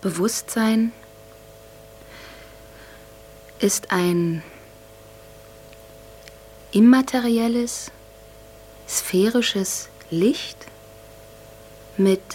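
An actress playing an entranced young woman in a radio drama, describing her consciousness haltingly and hushed, with long pauses. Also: medium pitch, breathy edge, full character voice, low-quality recording. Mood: entranced, calm. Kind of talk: slow meditative monologue in a radio drama.

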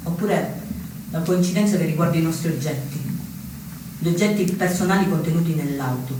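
A man acting a young anarchist recounting his fate in a theatrical stage monologue, with deliberate phrases and dramatic pauses. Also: fairly high pitch, natural voice. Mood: bitter, insinuating. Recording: very echoey, distant stage recording with noise.

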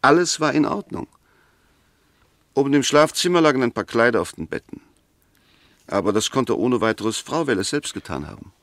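An actor in a 1960s German radio play as an eloquent, argumentative defence advocate, speaking with precise, emphatic, scornful rhetoric. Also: male voice, mid-range voice, animated, moderate pace, long pauses, fairly bright tone, no room sound, studio quality. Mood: insistent. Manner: rhetorical, emphatic, pointed questions, precise diction.

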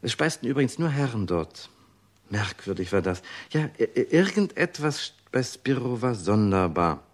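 An actor playing a rapturous, rambling gourmet who narrates a radio drama in an effusive, musing, theatrical way. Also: male voice, mid-range voice, big pitch swings, normal speed. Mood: musing, intrigued. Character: rapturous, rambling gourmet storyteller savouring his memories.

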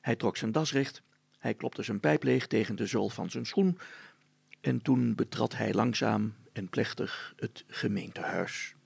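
A man narrates a children's tale with a very gravelly, rough voice. His delivery is deliberate, old-fashioned storytelling, recorded dry with no room sound. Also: fairly low pitch, no room sound.

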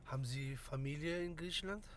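Higher-voiced male taxi driver over vehicle noise. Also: brisk. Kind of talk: casual conversation with a passenger. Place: inside and beside a car. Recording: vehicle noise, uneven distance from mic.

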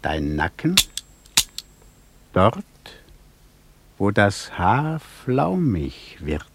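An actor voicing a sly, gloating finger of death, insinuating and savoring, in a poetic radio drama. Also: male voice, fairly low pitch, huge pitch swings, extremely slow, heavy background noise, heavily acted.

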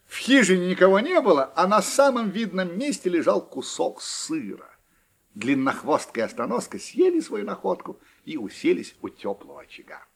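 A man narrating a folk tale in a husky, expressive storytelling manner. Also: high-pitched, normal speed.

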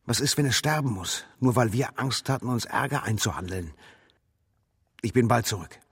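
An actor playing an anxious, conscientious husband in a radio drama, earnest and insistent. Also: male voice, medium pitch, animated, moderate pace, breathy edge, no room sound, clean studio sound. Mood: worried, resolute.

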